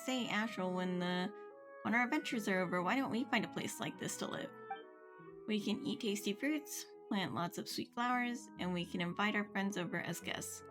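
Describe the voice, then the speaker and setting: A woman's voice: mid-range voice, normal speed. A woman streamer reading video game dialogue aloud expressively, with casual offhand remarks. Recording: music behind the voice. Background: video game music.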